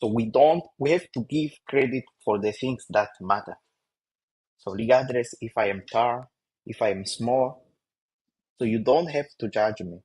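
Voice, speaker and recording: A young man with a Rwandan accent, Kinyarwanda his first language, talking earnestly in a casual discussion and asking rhetorical questions in halting English. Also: mid-range voice, a little mellow, slightly rough, low-quality recording. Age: young adult. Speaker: youth discussion guest.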